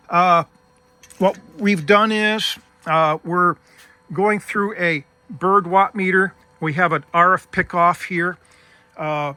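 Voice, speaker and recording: A man presents a casual hands-on demonstration as the host. His voice sits a little high and has a very bright, crisp edge. He explains each step methodically, in an informal way. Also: dry recording. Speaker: host demonstrating vintage radio gear.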